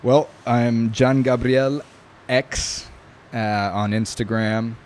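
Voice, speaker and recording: A man's voice: mid-range voice, fairly even intonation, slow, darkish tone, slight background noise. A man from New York who grew up speaking Italian first, a guest talking casually in an interview, thoughtful, with many fillers. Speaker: guest, knife maker.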